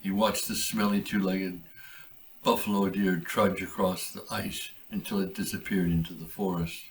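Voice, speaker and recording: A man reads his own short story aloud in a measured, deliberate way. His voice sits on the low side. He sounds a little distant from the mic. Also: little pitch movement. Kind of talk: storybook reading.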